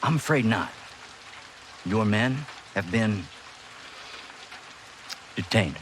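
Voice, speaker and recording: An actor playing a cocky, dismissive mercenary commander who talks in clipped lines. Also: male voice, fairly low pitch, expressive, drawn-out words. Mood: cold. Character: cocky male mercenary commander, smooth and cold. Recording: heavy rain noise behind.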